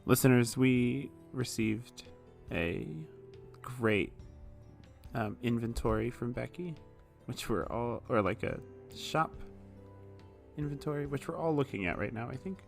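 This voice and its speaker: A man talks very slowly and casually as he plays a tabletop roleplaying game. His chat is improvised, with faint music underneath. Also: medium pitch, creaky. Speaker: player in a tabletop RPG podcast.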